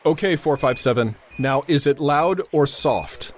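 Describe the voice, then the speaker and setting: An actor playing a steady, grave radio operator who gives precise, authoritative instructions and narrates in the style of old-time radio drama. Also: male voice, fairly high pitch, measured pace, slight background noise. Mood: firm, instructing.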